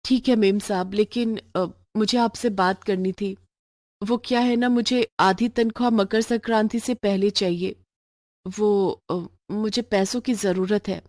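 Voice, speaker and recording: A woman's voice: medium pitch, steady tone, measured pace, darkish tone, no room sound, natural voice. Woman doing a poor maid mom for a story, humble, kinda hesitant n pleading, dry sound. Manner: deferential, hesitant. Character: poor pleading housemaid mother, humble and anxious. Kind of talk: moral storybook voice acting.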